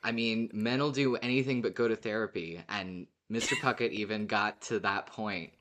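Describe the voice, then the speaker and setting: A podcast co-host chatting casually about a show, in a halting way with frequent false starts. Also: mid-range voice, fairly even intonation, fairly bright tone. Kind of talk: casual fan discussion podcast.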